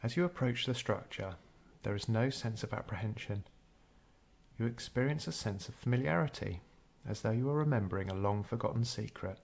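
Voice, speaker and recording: A man narrating a scripted guided meditation in a measured, unhurried storytelling way. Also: on the low side, vocal fry, a little mellow.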